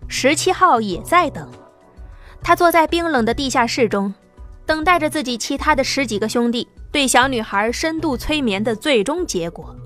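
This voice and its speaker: A woman narrating an apocalyptic sci-fi audiobook in a lively, dramatic storytelling manner, with soft music underneath. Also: sits high.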